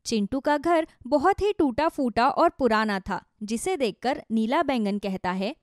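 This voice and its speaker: A woman's voice: on the high side, lively intonation, measured pace, dry recording, studio quality. A woman narrating a scripted children's story.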